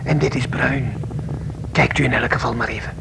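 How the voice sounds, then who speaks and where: An actor playing a concerned, helpful airline passenger in a radio drama, with a hushed, polite manner. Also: male voice, medium pitch, fairly even intonation, normal speed, creaky, noisy background. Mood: discreet, helpful.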